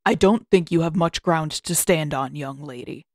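A woman's voice: low voice, moderate pace, no room sound. Storybook role: woman playing a disapproving old male master, cold and condescending, recorded dry. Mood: stern, scolding.

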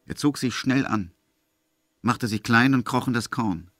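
A man's voice: medium pitch, measured pace, a bit bright, dry recording, clean studio sound. A man narrating a literary story for a German audio drama as scripted prose.

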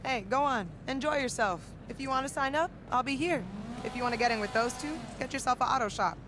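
A woman acts out a young, streetwise American organizer for a video game. Her voice is high, her talk slangy, easygoing and matter-of-fact. Clear car-meet noise runs behind her. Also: quick pace, close to an everyday voice. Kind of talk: video game cutscene acting. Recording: noisy car meet ambience.

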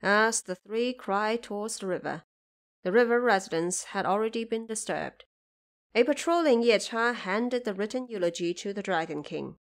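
A woman's voice: mid-range voice, animated, moderate pace. A woman narrator delivering scripted, dramatic storytelling for an audio drama.

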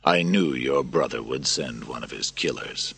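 A man doing a grim, husky enslaved gladiator. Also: lively intonation, a bit bright, full character voice. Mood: bitter. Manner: low, slow, grim. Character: bitter enslaved male gladiator, grim and weary.

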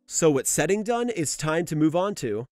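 A man's voice: a little high, sing-song, moderate pace. A man narrating a scripted video essay in an opinionated, conversational way, with asides. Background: background music.

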